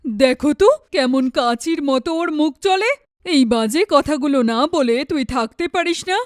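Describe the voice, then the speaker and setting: A woman's voice: sits high, expressive, moderate pace, no room sound, studio quality, pitched below the performer's own voice. A woman voicing a stern, protective middle-aged mother in a cartoon, with a scolding, indignant manner. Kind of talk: cartoon dialogue.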